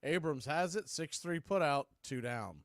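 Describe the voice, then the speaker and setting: A man's voice: animated, measured pace, a bit bright, no echo. Dry, close-miked male baseball announcer. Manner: clipped short phrases between pitches. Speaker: play-by-play baseball announcer and stream host. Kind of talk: live radio-style play-by-play commentary.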